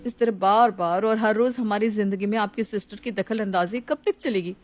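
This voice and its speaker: A woman's voice: medium pitch, steady tone, moderate pace, close to an everyday voice. A woman voicing a put-upon young housewife in a story, polite and restrained as she complains. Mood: aggrieved.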